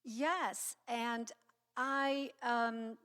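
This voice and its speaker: A middle-aged woman from Croatia with a Croatian accent, giving an informal inspirational talk with halting, anecdotal storytelling. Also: a little high, fairly even intonation, a bit bright, smooth. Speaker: guest speaker and singer. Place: church sanctuary, speaking to an audience. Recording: long hall reverb.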